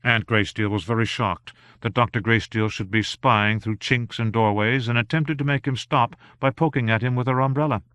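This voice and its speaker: A man narrating an unabridged novel aloud in a measured, even storytelling manner, with only light touches of voicing for the characters. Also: medium pitch, clear, resonant tone, no room sound. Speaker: audiobook narrator.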